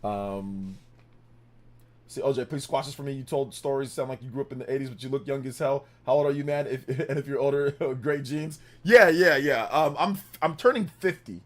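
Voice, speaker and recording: A man's voice: mid-range voice, normal speed. A man in his fifties hosting a casual gaming livestream, rambling and correcting himself as he talks to chat, laughing often. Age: 50s. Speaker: livestream host.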